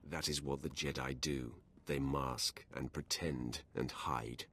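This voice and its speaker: Very deep, menacing dark lord. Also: male voice, fairly even intonation, heavily acted. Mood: cold. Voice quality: very deep, husky. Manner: commanding, deliberate, taunting. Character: menacing, commanding male Sith lord, coldly tempting. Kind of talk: video game voice acting.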